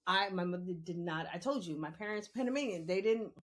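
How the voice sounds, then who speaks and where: A woman's voice: lowish voice, lively intonation, a little fast. A woman, talking candidly and emphatically in a conversational monologue, repeating phrases for emphasis. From New York City, born in the Bronx and raised in Queens. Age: 50s. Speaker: podcast host.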